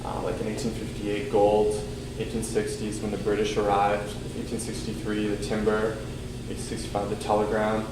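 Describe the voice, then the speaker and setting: A man's voice: lowish voice, completely flat delivery, normal speed. A young male student giving a casual class presentation, talking loosely with lots of ums and likes. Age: young adult. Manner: loose, filler-heavy talk with many ums and likes. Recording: echoey room with air-conditioning hum.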